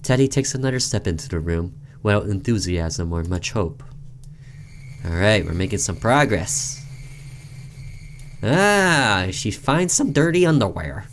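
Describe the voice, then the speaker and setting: A man reading visual novel narration aloud in a dramatic, deliberate storytelling manner, with casual commentary as a gaming host. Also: on the low side, very animated, slight background noise.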